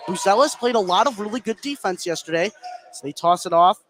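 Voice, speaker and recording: A man giving excitable live play-by-play radio commentary on a basketball game, his voice rising on big plays. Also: on the high side. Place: live at a high school basketball gym. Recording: clear background noise, gym ambience.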